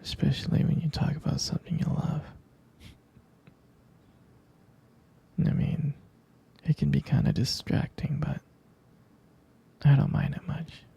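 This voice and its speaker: A man playing an affectionate, doting boyfriend in an intimate ASMR roleplay, speaking in a soft, gravelly whisper with tender hesitations. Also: flat delivery, heavily acted. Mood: adoring. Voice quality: soft, breathy whisper with low gravelly fry. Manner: slow, tender, hesitant with pet names. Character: affectionate, doting male vampire boyfriend soothing partner to sleep.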